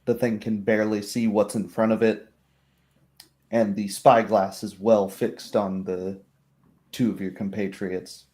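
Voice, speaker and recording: Slow, mellow male game master. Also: lowish voice, little pitch movement. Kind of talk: tabletop roleplay narration.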